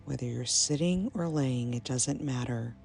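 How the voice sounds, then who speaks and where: A woman guiding a meditation in a soothing, measured manner, pausing between short phrases. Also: a bass voice, gravelly, slightly rough. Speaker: meditation guide. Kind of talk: guided meditation, slow and soothing. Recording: soft binaural music bed. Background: ambient binaural meditation music.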